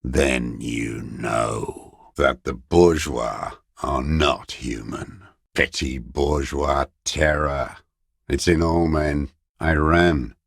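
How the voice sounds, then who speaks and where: Gravelly, very deep old revolutionary man. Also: steady tone, no echo, clean studio sound, full character voice. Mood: grim, proud, then haunted. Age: older. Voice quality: gravelly, raspy, worn low voice. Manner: slow, haunted, ideological declaiming with accusatory edge. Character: bitter old communist deserter, last defender of a fallen commune. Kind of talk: dramatic video game voice acting.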